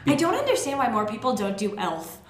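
A woman's voice: a little high, huge pitch swings, fairly quick. An American woman co-hosting a casual panel discussion, opinionated and given to wry interjections. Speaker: podcast co-host. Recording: echoey room.

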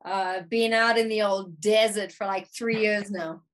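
A woman's voice: medium pitch, steady tone, measured pace. A woman in her thirties from South Africa, her accent partly Americanized, talking easily as a musician guest. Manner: easygoing, thoughtful, uses "like" often. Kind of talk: casual interview chat.

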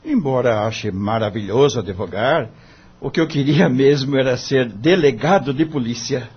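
A man's voice: mid-range voice, expressive, normal speed, breathy edge, muffled recording. An actor playing an earnest, discouraged young male lawyer in a radio drama, respectful and pleading, with a Brazilian accent. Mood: wistful.

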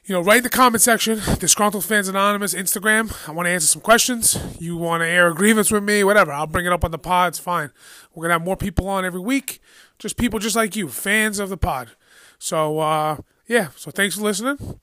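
A male podcast host talks fast in a high, bright voice, sniffly from a cold. He is excitable and slangy, and the sound is close and dry on his microphone. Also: dry recording. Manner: excitable, slangy, says "bro" a lot. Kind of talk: casual sports podcast chat.

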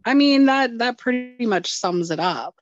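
A woman's voice: fairly high pitch, expressive, a little slow, no room sound, highs cut off, faint music behind. A woman co-hosting a podcast in casual chat, joking drowsily about herself. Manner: self-deprecating, drowsy joking. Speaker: podcast co-host.

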